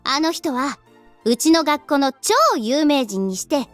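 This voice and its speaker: A woman voicing a chatty, knowing schoolgirl classmate in an anime visual novel, with an animated, gossipy delivery. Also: sits high, fairly quick, soft background music. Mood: gossipy, impressed. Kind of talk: Japanese visual novel voice acting.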